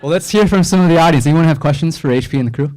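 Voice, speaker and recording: A man's voice: on the high side, rapid-fire, darkish tone, poor audio. A man moderating a festival Q&A, calling on audience members briefly.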